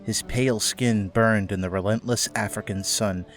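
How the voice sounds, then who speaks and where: A man's voice: on the low side, fairly even intonation, normal speed. A man narrating a scripted audio drama as its storyteller. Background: background music.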